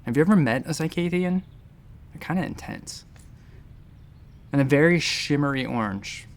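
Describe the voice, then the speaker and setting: An actor playing an anxious, wry space station doctor who rambles and halts in a sci-fi audio drama. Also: male voice, on the high side, expressive. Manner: rambling, halting, self-interrupting. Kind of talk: audio drama acting, conversational narration.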